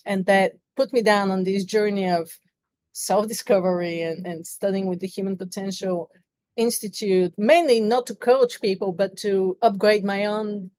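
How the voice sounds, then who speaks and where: A woman's voice: mid-range voice, steady tone, normal speed, a little mellow. A middle-aged woman from Bulgaria with a Bulgarian accent, telling her story earnestly and reflectively as a podcast guest. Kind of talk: interview conversation.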